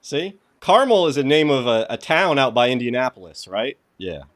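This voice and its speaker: A man's voice: mid-range voice, very animated, moderate pace, slight background noise. A man with a New England accent hosting a casual livestreamed tech tutorial, reading quiz questions aloud and greeting viewers by name. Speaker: host of a cybersecurity lab walkthrough.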